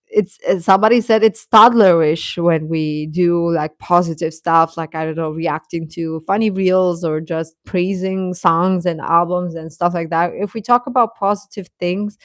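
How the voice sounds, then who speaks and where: A woman hosting a casual fan livestream, rambling and halting as she replies to comments, often with a chuckle in her talk. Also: on the low side, dry recording, clean studio sound. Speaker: host of a K-pop fan channel.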